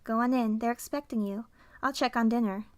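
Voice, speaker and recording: A woman's voice: on the high side, steady tone, a little fast, slight background noise, natural voice. A woman playing a polite, composed young maid in a radio drama, courteous and formal.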